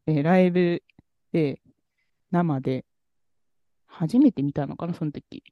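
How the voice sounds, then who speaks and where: A man co-hosting a podcast, telling a story in a relaxed, rambling way with many fillers. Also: fairly high pitch, measured pace, no room sound, highs cut off. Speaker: podcast co-host. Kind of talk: casual chat about music.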